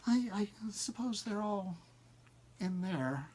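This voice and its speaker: A man voicing a fat little older experimenter in a story, excitable and halting in his speech. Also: sits high, pitched above the performer's own voice, heavily acted. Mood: calming, thoughtful. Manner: halting, exclamatory, swinging from agitated to thoughtful.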